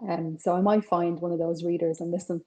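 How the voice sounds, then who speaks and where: A woman in her forties with a North Side Dublin accent, a crime author chatting over a video call from her kitchen. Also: lowish voice. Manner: chatty, animated, quick asides. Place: home kitchen with shelves.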